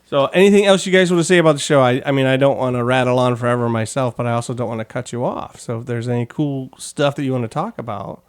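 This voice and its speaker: A man's voice: medium pitch, fairly even intonation, quick pace, hardly any pauses, clean tone. A man hosting a casual interview in an informal, jokey, rambling way. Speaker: podcast host.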